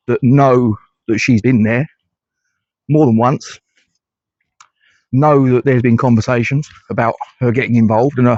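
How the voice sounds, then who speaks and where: A man's voice: medium pitch, darkish tone, no echo. A middle-aged English man talks bluntly through his views in solo fan commentary, often stopping to restart a thought. The recording is dry with no room sound, and it comes across slightly dull and lo-fi. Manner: blunt, conversational, hesitant restarts. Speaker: fan channel host.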